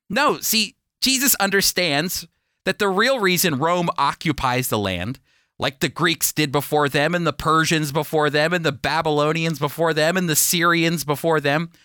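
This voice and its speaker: A man's voice: fairly high pitch, pushed and bright, no room sound, studio quality. A man hosting a radio Bible program, teaching in an emphatic, explanatory, preacherly manner.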